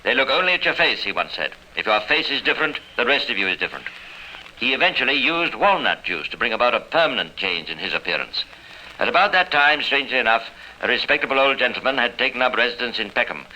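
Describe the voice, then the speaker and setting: A man narrating a radio crime drama in a formal, dramatic, measured 1950s style. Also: mid-range voice, very animated, bright, forceful tone. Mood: grave, dramatic. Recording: faintly noisy old radio recording.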